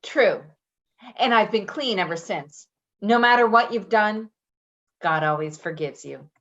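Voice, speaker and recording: A woman's voice: medium pitch, expressive, unhurried, fairly bright tone, dull audio. A woman acting a tough, defensive ex-convict in a play reading, blunt and combative in manner. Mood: guarded. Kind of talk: dramatic play reading, naturalistic dialogue.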